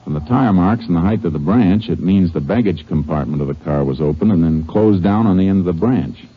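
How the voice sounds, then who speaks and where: An actor with an American accent playing a calm, methodical, laconic Texas Ranger in a 1950s radio western. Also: male voice, low-pitched, fairly quick, breathy edge. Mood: matter-of-fact. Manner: laconic, matter-of-fact. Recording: noisy, dull 1950s radio recording.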